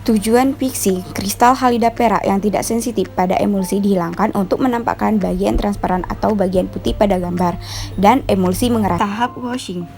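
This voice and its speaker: A woman with a mid-pitched voice reads a scripted instructional narration. Her delivery is formal and read-out, with soft music playing behind her. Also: brisk.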